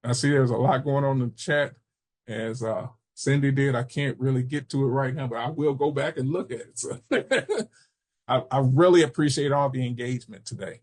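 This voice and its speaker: An American man from Chicago giving an informal training webinar, explaining haltingly with personal asides. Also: mid-range voice, a little mellow. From Chicago, United States. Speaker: webinar presenter, substance misuse prevention trainer.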